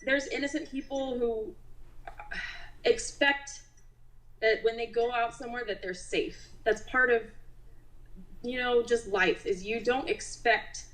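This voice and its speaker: A woman's voice: on the high side, steady tone, measured pace, pauses often, fairly bright tone, rough recording. A young adult woman, a nurse being interviewed, talks in a casual, reflective and conversational way. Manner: conversational, frequent use of "like".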